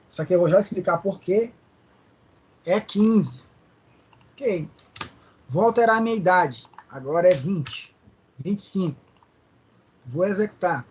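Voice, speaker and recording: A man's voice: sits high, darkish tone, slight background noise. Man teaching a programming class. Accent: Brazilian Portuguese, Maranhão. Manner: explains step by step, asks students questions. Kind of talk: live online class.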